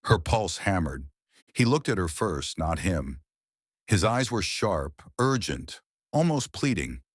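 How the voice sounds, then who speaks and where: A man reading a psychological thriller audiobook with tense, dramatic pauses. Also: deep voice, big pitch swings, breathy edge, dry recording, clean studio sound. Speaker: audiobook narrator. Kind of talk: scripted thriller narration.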